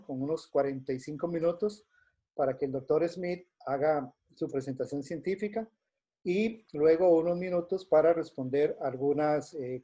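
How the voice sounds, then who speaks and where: A man hosting a webinar, reading a formal introduction of the guest in a measured way with occasional hesitations. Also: fairly high pitch, dark tone. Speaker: event host.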